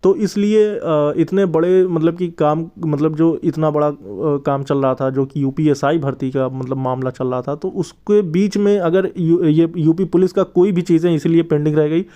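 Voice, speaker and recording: A man's voice: on the high side, fairly even intonation, moderate pace, few pauses, warm tone. A man giving an informal update to viewers as a channel host and tutor, explaining earnestly and wordily.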